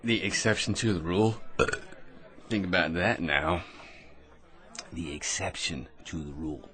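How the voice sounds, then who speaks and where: A man's voice: sits low, steady tone, a little slow, sharp tone, some chatter behind. An actor playing an eager, self-important American office bore who rambles and laughs at his own remarks in a radio drama. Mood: smug, insistent.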